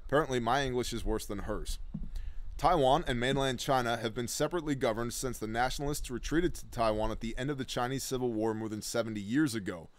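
A man's voice: medium pitch, fast talker. Sardonic man reading news with dry asides. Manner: sardonic, blunt asides between read passages. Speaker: host of a preparedness news show. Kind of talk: reading news articles aloud with sarcastic commentary.

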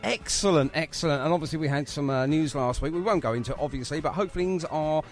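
A man's voice: on the high side, fast talker. A man hosting a chatty music radio interview in a jokey, effusive way with slightly hesitant phrasing. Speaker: radio show host.

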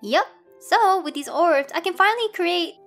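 Little cartoon wish creature, woman actor: very high childlike voice, cheerful, casual, music in back. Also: expressive, moderate pace, vocal fry, a bit bright, heavily acted. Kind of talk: animated comic dub acting.

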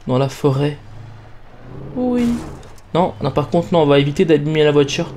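Mellow, higher-voiced young man gaming. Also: steady tone, fast talker, slight background noise. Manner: playful, self-mocking. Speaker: let's play gamer. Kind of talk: casual gameplay commentary.

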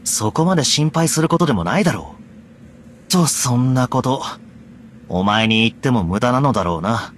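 Man acting dry, exasperated young anime knight, animated. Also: mid-range voice, moderate pace, slight background noise, close to an everyday voice. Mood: wry, reflective. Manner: blunt, sardonic retorts. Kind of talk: Japanese anime game voice acting. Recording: light background music.

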